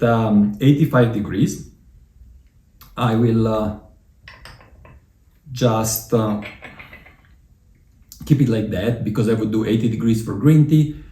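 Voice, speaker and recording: A man with an Italian accent hosting a tea tasting, talking in a relaxed, chatty way with frequent fillers. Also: medium pitch, echoey room. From Italy. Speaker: tea channel host.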